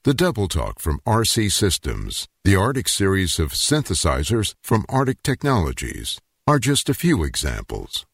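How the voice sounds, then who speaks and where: An older man giving scripted documentary narration in a measured, explanatory way. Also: sits low, wildly swooping pitch, slightly rough, no echo, studio quality.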